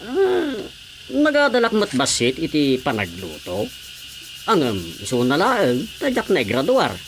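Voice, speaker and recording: A man's voice: on the high side, wildly swooping pitch, a little slow, a little hiss or hum, full character voice. A man acting as a cheeky, eager, teasing visiting cousin in a comedy radio drama.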